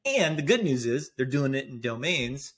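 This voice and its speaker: An upbeat man co-hosting a casual investing chat. He talks at an ordinary pitch, loose and conversational, and laughs easily. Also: very animated, normal speed, fairly bright tone. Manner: chatty, upbeat, laughs often. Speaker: podcast co-host.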